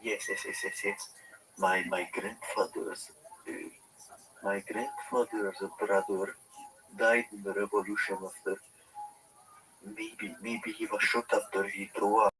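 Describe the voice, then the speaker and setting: Slow halting man. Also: fairly low pitch, flat delivery, bright, forceful tone, soft background music, low-quality recording. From Serbia (Vojvodina). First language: Hungarian. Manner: halting, repeats words while searching for English. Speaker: guest caller in a livestream chat. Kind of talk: casual chat.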